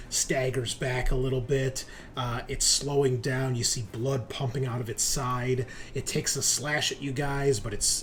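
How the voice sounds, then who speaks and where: A man's voice: medium pitch, fairly bright tone, slight background noise. A man running a tabletop roleplaying game as game master, narrating action and ruling on dice rolls. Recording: music playing behind the voice. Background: music.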